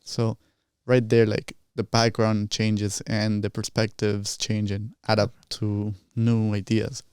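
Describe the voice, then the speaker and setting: Smooth, slightly low young man, casual host. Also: monotone, takes it slowly, a little mellow, no room sound, studio quality. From Colombia, partly grew up in the United States. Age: young adult. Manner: informal, slangy. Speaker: podcast host. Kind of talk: casual podcast chat. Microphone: close boom-arm studio microphone.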